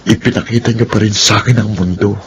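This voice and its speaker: An actor as a low-voiced, devoted son. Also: male voice, fairly even intonation, quick pace, very noisy, close to an everyday voice. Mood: grateful, tender. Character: earnest, devoted grown son thanking his mother. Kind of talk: Filipino radio drama acting.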